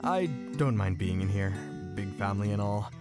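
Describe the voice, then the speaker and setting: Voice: sits low, expressive, moderate pace, music in the background. An actor voicing a laid-back, caring teenage greaser boy from the 1950s in a comic dub, with a casual, easygoing manner.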